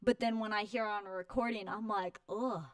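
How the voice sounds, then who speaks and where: Woman, twenties, effusive high-energy interview guest, informal, quick to laugh, dry recording. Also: fairly even intonation, a bit bright, no room sound. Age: 20s. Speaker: interview guest, home warranty company representative. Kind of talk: casual chat.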